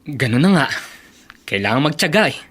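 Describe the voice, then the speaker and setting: Young male romantic lead, cocky and lovestruck, very lively intonation. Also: mid-range voice, fairly quick, slightly rough. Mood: cocky, determined. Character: cocky, lovestruck young man pining for his girlfriend. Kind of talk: Tagalog radio drama acting.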